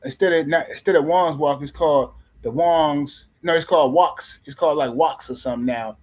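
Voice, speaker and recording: Guy running a boxing live stream, kinda high voice, jokey and rambly, keeps repeating himself. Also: a little fast, darkish tone. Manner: loose, teasing, rambling with repeated words. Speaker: boxing livestream host. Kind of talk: casual live-stream commentary.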